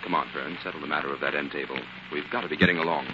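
A man's voice: a bass voice, steady tone, very fast. A man with an American accent playing a level-headed, inquisitive detective in a 1940s radio drama. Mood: thoughtful, businesslike. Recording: muffled, noisy 1940s radio recording.

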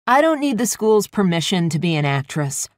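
A woman voicing a quiet-voiced young female student who sounds defensive and pleading in an audiobook. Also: lowish voice, very animated, normal speed, dry recording, studio quality, pitched above the performer's own voice.

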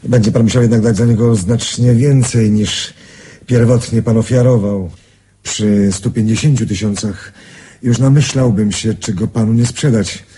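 An actor in a radio play playing a shrewd, suave gentleman, polite, teasing and deliberate. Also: fairly low pitch, steady tone, breathy edge. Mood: shrewd, amused. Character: shrewd, suave gentleman owner of a magic ring. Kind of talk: radio drama acting.

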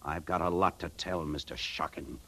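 Low-voiced, bitter fugitive adman. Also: steady tone, moderate pace, gravelly. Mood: urgent. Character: terse, bitter adman on the run, narrating. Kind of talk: 1950s radio acting. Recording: noisy old radio recording.

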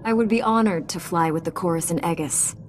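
A woman playing a composed, resolute knight in a video game, serious, measured and direct. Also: on the low side, faint music behind, close to an everyday voice. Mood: earnest, firm.

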